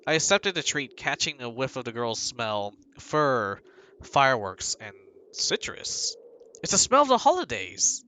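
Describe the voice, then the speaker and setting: Bright-voiced male streamer reading a game. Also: mid-range voice, sing-song, measured pace, a little hiss or hum. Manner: joking, rambling asides with fillers. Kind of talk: reading a game aloud with asides.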